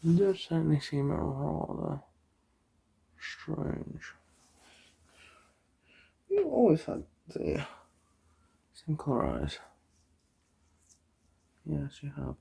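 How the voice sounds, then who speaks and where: A soft-spoken ASMR performer role-playing an eye examiner, murmuring and often whispering in an unhurried, chatty, polite clinical patter with a breathy, husky voice. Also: mid-range voice, huge pitch swings.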